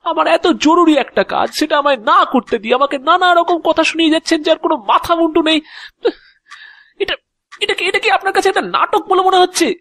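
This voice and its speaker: A man acts out an exasperated, anxious fellow in an audio drama. The voice sits very high, in a dry recording. He stammers and repeats himself as he protests and pleads. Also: brisk, sharp tone, no echo, exaggerated voice. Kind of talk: Bengali audio drama acting.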